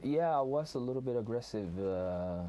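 A man's voice: medium pitch, lively intonation, normal speed, warm tone, muffled recording, slight background noise. A man in his forties from Norway, a rapper guest, tired and easygoing, chatting in a cluttered storage room. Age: 40s. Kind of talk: casual interview chat.

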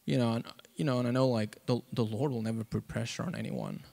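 A man gives an informal sermon and testimony into a handheld mic, halting and conversational, with lots of "like" and "you know.". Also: mid-range voice, gravelly, mellow. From South Africa. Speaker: preacher. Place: church auditorium, on stage before seated congregation. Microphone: handheld microphone.

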